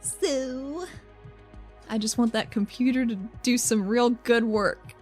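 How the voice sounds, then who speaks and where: A woman plays a tabletop game, slipping between casual chat and improvised role-play. Her voice sits fairly high and her phrasing is informal, with faint music behind her. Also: steady tone, slow, a little mellow.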